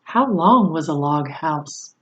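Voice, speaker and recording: A woman reading a children's novel aloud in a deliberate, measured storytelling manner. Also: deep voice, animated, fairly bright tone, muffled recording. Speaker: storybook reader.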